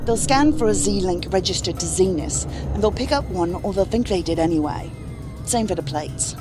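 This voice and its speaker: An actress playing a cocky, sardonic saboteur in an audio drama, brisk, confident and sarcastic. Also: female voice, fairly low pitch, lively intonation, music in the background, lightly acted. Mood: impatient, confident.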